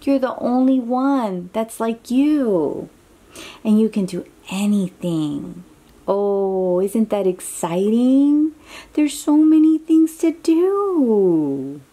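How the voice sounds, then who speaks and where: A woman reads a children's book aloud with lively swings in pitch and an unhurried pace. Her voice is soft-edged and mellow, and she speaks encouragingly, as if chatting directly with a small child. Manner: encouraging, exclaiming, asks the listener questions. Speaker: children's picture book reader. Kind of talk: read-aloud storytime for young children, with chatty asides.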